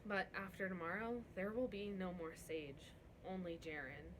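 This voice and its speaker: A woman voicing a young servant girl in a storybook read-aloud, soft, hesitant, earnest and worried. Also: lowish voice, fairly even intonation, normal speed, noisy background, natural voice. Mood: gentle, hesitant.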